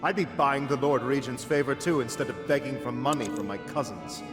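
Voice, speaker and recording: An actor playing a drunk, bitter, lecherous aristocrat in a video game cutscene, languid and sneering. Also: male voice, medium pitch, fairly quick, reverberant, long reverb tail, music in the background, lightly acted. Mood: envious bitterness.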